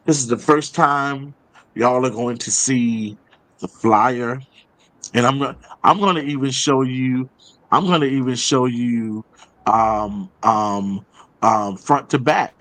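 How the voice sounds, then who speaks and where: A man's voice: medium pitch, slow, fairly bright tone, no echo, poor audio. Man hosting a casual show, repeating for emphasis. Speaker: show host and playwright. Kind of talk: casual show chat with announcements.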